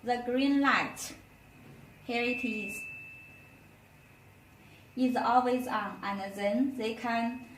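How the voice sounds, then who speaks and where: High-voiced woman demonstrating in an echoey room. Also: fairly even intonation, slight background noise. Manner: halting non-native phrasing. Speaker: product demonstrator. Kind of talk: step-by-step product tutorial. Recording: roomy echo, distant microphone.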